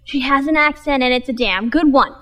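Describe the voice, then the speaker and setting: A woman playing a feisty, blunt, sharp-tongued writer in a scripted comedy skit. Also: high voice, fairly quick. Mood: blunt, snappy. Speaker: guest series creator. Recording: long reverb, faint hiss.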